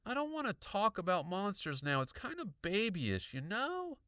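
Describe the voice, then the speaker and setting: A man's voice: sits high, huge pitch swings, normal speed, bright, forceful tone, no echo, studio quality, pitched above the performer's own voice, heavily acted. A man voicing a dismissive, teasing young boy who sounds unimpressed, in a children's horror audiobook. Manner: muttering, unimpressed, mocking.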